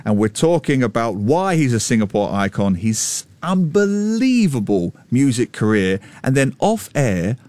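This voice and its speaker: Energetic male talk-radio host with a bouncy delivery and close, dry sound. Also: medium pitch, unhurried, hardly any pauses, no echo. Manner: energetic, teasing, enthusiastic. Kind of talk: lively radio talk show. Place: radio studio.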